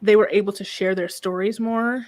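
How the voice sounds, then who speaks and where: A soft-spoken woman business coach talking calmly in a casual interview, often ending thoughts with a questioning "right?". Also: mid-range voice, moderate pace. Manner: calm, reflective, frequent "right?" tags.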